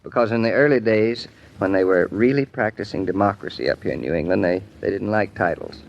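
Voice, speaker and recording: An actor playing a patient, modest young husband who is an architect, hesitant and stammering at times, in a 1940s radio play. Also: male voice, fairly low pitch, brisk, vocal fry. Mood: earnest. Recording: muffled vintage radio recording.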